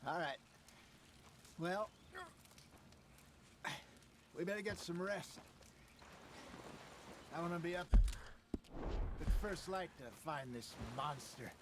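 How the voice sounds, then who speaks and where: Seasoned older outlaw hunter, western game, American, fairly high voice, folksy and measured, noisy background with hoofbeats. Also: male voice. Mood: easy authority. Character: older outdoorsman outlaw hunter, seasoned, plain-spoken mentor. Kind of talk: western video game voice acting. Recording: clear background noise, horse hoof clatter.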